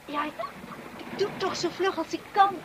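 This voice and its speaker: An actress playing a timid, anxious young wife in a Dutch radio drama, hesitant and devoted, also narrating softly in the first person. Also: female voice, extremely high pitch, moderate pace. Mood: flustered. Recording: noisy old radio play recording.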